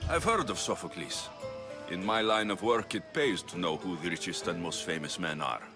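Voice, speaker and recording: An actor playing a guarded, dry and blunt young Greek mercenary in a video game cutscene. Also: male voice, low-pitched, moderate pace, music in the background, close to an everyday voice.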